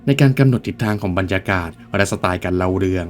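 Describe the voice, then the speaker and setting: Fast-talking man narrating in a low voice. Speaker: video essay narrator. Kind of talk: scripted film-commentary narration.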